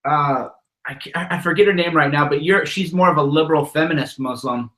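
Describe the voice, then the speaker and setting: Man, host, casual interview, frank, bright voice, echoey room. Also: a little high, brisk. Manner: conversational, frank, with restarts. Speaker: host of call-in show.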